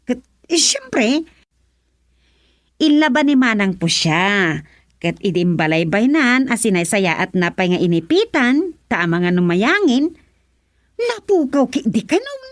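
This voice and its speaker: A woman's voice: a little high, sing-song, no echo. A woman playing a gleeful village gossip in a comedic radio drama, teasing and giggly as she draws out her tales. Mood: gossipy, amused. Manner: giggly, teasing, drawn-out storytelling.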